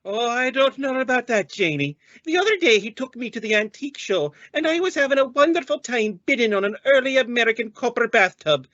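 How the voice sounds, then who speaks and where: A woman's voice: fairly high pitch, expressive, fairly quick, hardly any pauses, a bit bright, no room sound. A woman playing a feisty, vain, easily offended landlady with a blustering manner in a radio comedy. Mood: chatty. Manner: blustering, indignant, swooping. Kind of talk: old-time radio comedy acting. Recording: dull lo-fi recording.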